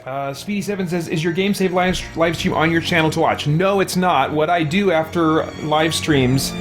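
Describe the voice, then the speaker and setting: A man hosting a game live stream, chatting informally and hesitantly as he thinks aloud. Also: on the high side. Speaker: video game streamer, host. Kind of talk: casual live stream chat.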